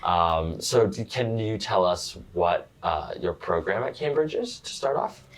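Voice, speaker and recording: This is a very low-pitched man hosting a casual academic interview. He is chatty and self-deprecating, laughs easily, and keeps the talk loose as he asks his guest about her studies. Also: animated, unhurried. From United States. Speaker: podcast host, graduate student.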